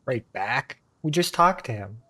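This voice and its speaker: A woman's voice: a bass voice, animated, measured pace, fairly bright tone, husky. A woman game streamer casually reacting to gameplay in an offhand, giggly way.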